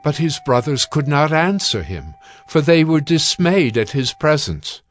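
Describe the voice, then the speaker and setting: A man narrating scripture in a measured, solemn reading. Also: fairly high pitch, big pitch swings.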